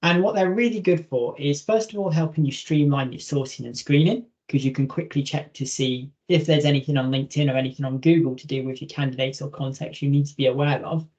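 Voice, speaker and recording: A man presenting a webinar, explaining a software feature step by step in an instructional style. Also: fairly high pitch, quick pace, hardly any pauses. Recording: dull, lo-fi online audio.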